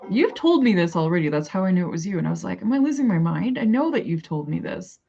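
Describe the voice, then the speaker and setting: A Canadian woman with a lowish voice chats loosely with her viewers, thinking aloud and drifting from point to point. Her talk is casual and rambling. The audio is dull and lo-fi. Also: big pitch swings, fast, gravelly, dark tone. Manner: rambling, chatty, thinks aloud and answers chat. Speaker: livestreamer chatting with viewers. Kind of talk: casual live chat.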